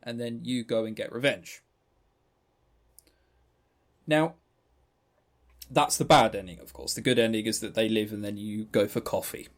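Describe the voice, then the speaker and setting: A man's voice: on the low side, fairly even intonation, unhurried, long pauses. A man co-hosting a podcast, casually reviewing a game and retelling its story in a rambling, chatty way with stammering restarts. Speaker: podcast co-host.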